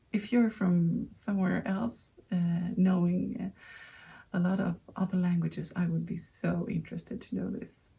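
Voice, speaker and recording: A Swedish woman in her thirties, speaking English as a second language, hosting a knitting podcast in a hesitant, casual way with many pauses. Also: on the low side, creaky, dark tone. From Sweden. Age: 30s. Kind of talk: casual chat to camera.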